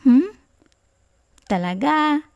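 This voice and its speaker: A woman with a Filipino accent playing a sweet, bashful, doting girlfriend in an affectionate ASMR roleplay, talking softly and coyly in a giggly, tender way. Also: sits high, animated, drawn-out words, creaky, highs cut off, exaggerated voice. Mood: shy, flattered. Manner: soft, giggly, coy, tender.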